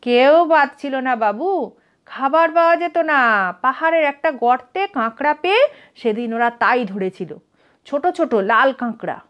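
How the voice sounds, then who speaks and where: A woman's voice: high voice, expressive, measured pace, dull audio. A woman with a Bengali accent voicing a humble male sailor who earnestly recounts a grim voyage in a storybook reading. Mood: earnest, grave.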